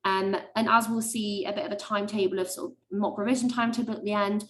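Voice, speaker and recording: A young woman, a medical student, giving chatty webinar advice with lots of ums and likes and a high-pitched voice. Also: little pitch movement, fast, fairly bright tone. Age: young adult. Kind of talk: webinar talk.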